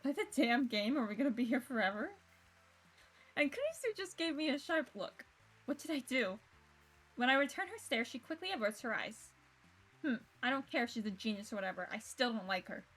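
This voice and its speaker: A woman streamer reading visual novel narration aloud in an expressive way, with casual, sweary reactions. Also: on the high side, brisk, low-quality recording. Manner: expressive, self-interrupting, swears freely. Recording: game music behind the voice. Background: game music.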